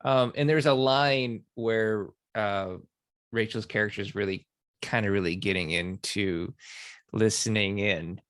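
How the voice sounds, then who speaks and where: A man hosting a podcast, talking in a relaxed way with many fillers. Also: fairly low pitch, measured pace, dry recording. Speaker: podcast host. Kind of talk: casual podcast interview.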